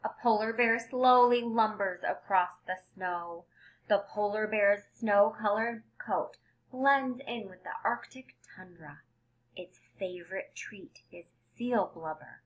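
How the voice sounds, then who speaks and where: A woman's voice: mid-range voice, slow, rough recording. A woman reading a picture book aloud to young children like a teacher, stressing key words expressively and explaining things simply. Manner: expressive, emphasized headings with simple explanatory asides.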